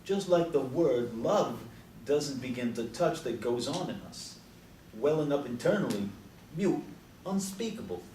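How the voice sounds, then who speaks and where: A man's voice: mid-range voice, lively intonation, a little slow, mellow, close to an everyday voice. Far-off actor as wry old Beat man. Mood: reflective. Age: older. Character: old Beat man, wry, self-mocking, Zen-minded. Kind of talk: stage play dialogue. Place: live stage performance recorded from a distance. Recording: roomy, far from mic, faint noise.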